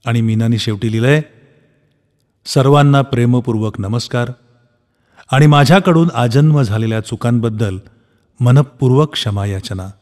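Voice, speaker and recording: A man reads in a measured, expressive and solemn way, as in a poetry recitation. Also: mid-range voice. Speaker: reader reciting a poem by a late relative.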